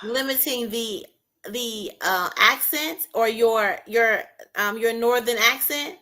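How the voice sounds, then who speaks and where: Southern lady, bright voice a bit high, sassy teasing cohost. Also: takes it slowly. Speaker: co-host. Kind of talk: casual live chat.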